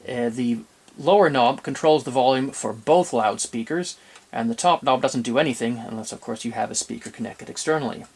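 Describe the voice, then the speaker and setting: Male demonstrator, mid-pitched, talking through equipment unscripted in a methodical, explanatory way. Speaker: presenter demonstrating vintage audio gear.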